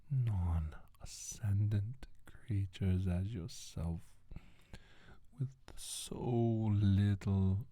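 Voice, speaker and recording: A man playing a menacing, scornful alien scientist in a soft-spoken ASMR roleplay, speaking slowly and deliberately in a breathy, raspy whisper. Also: low voice, dry recording, exaggerated voice. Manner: slow, deliberate, condescending.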